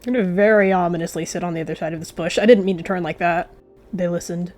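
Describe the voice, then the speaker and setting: A woman's voice: fairly low pitch, steady tone, fast talker, darkish tone, faint music behind. A woman narrating a video game roleplay in story style, with casual asides to viewers. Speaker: gaming video creator.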